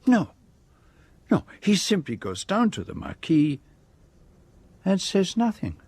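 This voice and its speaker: An actor playing a self-assured detective in a radio drama, speaking in a deliberate, formal and precise way as he lays out a case. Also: high voice, huge pitch swings, a little mellow, breathy edge, no room sound, heavily acted. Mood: accusing, deliberate. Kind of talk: radio drama acting, monologue.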